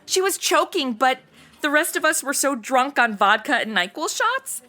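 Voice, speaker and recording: A woman's voice: high-pitched, very animated, normal speed, sharp tone, heavily acted. A woman playing a bubbly, ditzy young insurance seller in a comedy audio drama, with flippant, slangy Valley-girl chatter. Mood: breezy, candid.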